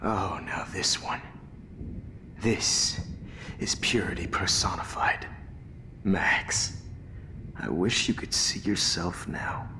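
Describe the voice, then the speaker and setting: An actor playing a cold, arrogant middle-aged American photography teacher turned killer in a video game, smug, measured and menacing, with a breathy near-whisper. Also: male voice, sits low, sharp tone, exaggerated voice. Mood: calm, sinister. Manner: smug, measured, menacing, prone to sudden outbursts. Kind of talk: dramatic video game acting. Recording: reverberant room with ambient noise.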